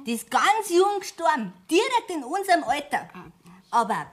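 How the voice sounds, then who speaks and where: A woman's voice: high-pitched, very animated, moderate pace. An actress playing a naive, curious, put-upon young working girl, direct and artless in Bavarian dialect, in a radio play. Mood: earnest, aggrieved. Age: young adult. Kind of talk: 1970s German radio play acting in dialect. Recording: studio radio play with music behind.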